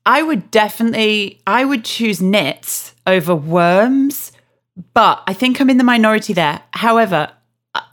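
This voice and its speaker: A woman's voice: mid-range voice, expressive, a little slow. A woman co-hosting a podcast, chatting frankly and mocking herself with easy humor. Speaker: podcast co-host. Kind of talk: casual chat.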